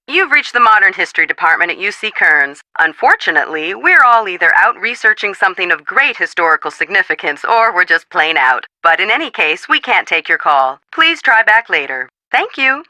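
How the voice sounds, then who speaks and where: A polite, businesslike academic in a mystery game. Her delivery is lively and melodic, with a very bright, forceful tone and a dry, close sound. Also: medium pitch, fairly quick, hardly any pauses, no room sound. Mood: brisk, polite. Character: brisk, polite American woman history academic. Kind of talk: video game phone dialogue acting.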